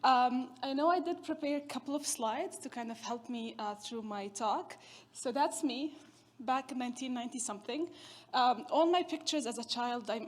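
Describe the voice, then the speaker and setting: Chatty keynote woman, higher voice. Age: 30s. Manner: chatty, storytelling with self-deprecating humor. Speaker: keynote speaker. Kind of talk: conference keynote talk.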